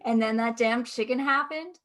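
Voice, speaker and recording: A woman who acts in an audio drama, telling an anecdote casually with animated, self-mocking delivery. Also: a little high, normal speed. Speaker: voice actor in an audio drama cast. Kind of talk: casual anecdote in a panel chat.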